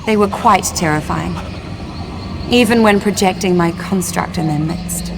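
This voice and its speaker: A woman's voice: lowish voice, unhurried, vocal fry, background noise. An actress playing a noble elven lady in a video game, long imprisoned and yearning for home, speaking in formal theatrical diction. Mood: resolved. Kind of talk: video game character acting.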